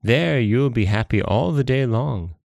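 A man's voice: mid-range voice, expressive, measured pace, creaky, darkish tone, dry recording, voice pushed up. A man voicing a naive, eager, foolish jellyfish servant in a storybook tale, guileless and coaxing. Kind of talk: storybook character voice.

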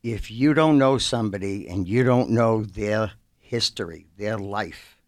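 A man's voice: fairly low pitch, a little slow. A middle-aged man, chatting casually and telling stories as a guest in a radio studio. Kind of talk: casual chat, storytelling.

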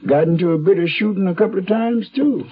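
An actor playing a folksy, drawling, cheerful rough man doctoring a wound in a 1970s radio drama. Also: high-pitched, fast. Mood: folksy, unhurried. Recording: dull lo-fi 1970s radio recording.

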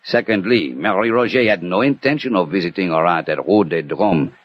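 A man playing a cool, methodical French detective who narrates and reasons deliberately in a 1960 radio mystery. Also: low-pitched. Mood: deliberate reasoning. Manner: measured, deliberate, analytical. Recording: muffled old radio recording.

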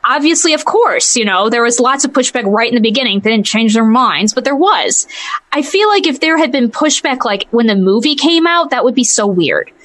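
Female podcast co-host, slightly high voice, casual opinion chat, careful and self-qualifying. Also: animated, moderate pace, fairly bright tone. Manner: hesitant, circling back and qualifying herself.

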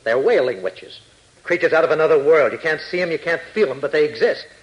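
An actor as a grave, haunted army major. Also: male voice, on the high side, lively intonation, quick pace, muffled recording, natural voice. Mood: grave, desperate. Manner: grave, intense, dramatic. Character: strained middle-aged male army major, haunted, desperate. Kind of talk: 1940s radio adventure acting.

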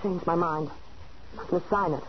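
An actress playing a lonely, desperate unfaithful wife in a halting, pleading way, in a 1940s radio thriller. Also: female voice, on the low side, steady tone, close to an everyday voice. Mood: guarded, uneasy. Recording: muffled, noisy 1940s radio recording.

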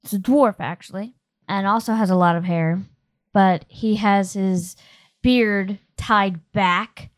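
A woman's voice: mid-range voice, fairly even intonation, slow. A woman running a tabletop game as game master, narrating casually.

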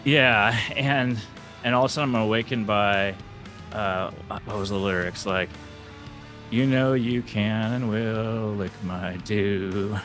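Male co-host, baritone, low-set voice, loose and joking with faint background music. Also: steady tone, slow pace, a bit bright. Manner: rambling, joking. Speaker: podcast co-host. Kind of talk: casual interview chat.

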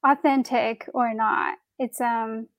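A woman's voice: on the high side, steady tone, slow pace, dry recording, clean studio sound. A woman from Taiwan answering interview questions hesitantly, with many fillers. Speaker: guest, environmental science student.

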